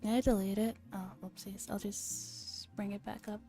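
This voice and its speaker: A woman streamer softly reading a visual novel aloud in an ASMR style, with gentle, casual asides to her chat. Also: medium pitch, little pitch movement, moderate pace. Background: music.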